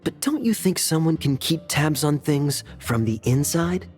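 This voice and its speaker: A man playing a sly, teasing young captain in an anime video game, smooth-talking and playful. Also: on the high side, lively intonation, a little slow, darkish tone, faint music behind.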